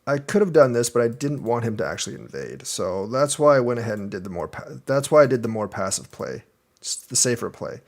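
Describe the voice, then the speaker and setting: Young adult male Go teacher, casual game review, thinks aloud move by move, mid-range pitch. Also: sing-song, brisk, creaky, a little mellow. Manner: thinks aloud, explaining moves step by step.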